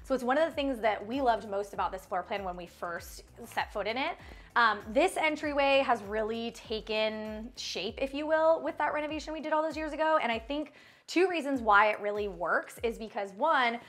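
A woman's voice: on the high side, moderate pace, a bit bright, faint music behind. A woman in her thirties, an interior designer, chatting upbeat and casual as she walks through her house, laughing often. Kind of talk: casual home tour chat. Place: bright home interior rooms.

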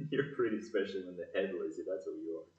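A man's voice: sits low, quick pace, darkish tone, lightly acted. A man playing a cheeky, teasing fourteen-year-old boy in a community audio play. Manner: playful, teasing. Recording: echoey, muffled room recording.

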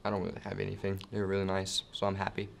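A man's voice: deep voice, monotone, quick pace, gravelly, slight background noise. A teenage boy from Denmark, an exchange student, answering hesitantly in a school TV interview. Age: teens. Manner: hesitant, searching for words.

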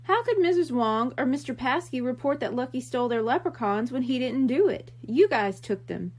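A woman's voice: high voice, expressive, moderate pace, breathy edge, heavily acted. A woman voicing a curious, puzzled young boy in a storybook mystery.

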